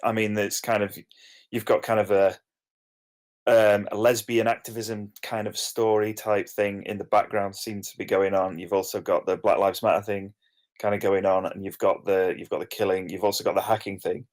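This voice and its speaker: Male, fairly low register, hesitant and rambling workshop participant, informal delivery. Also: little pitch movement, normal speed. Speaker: participant giving script feedback.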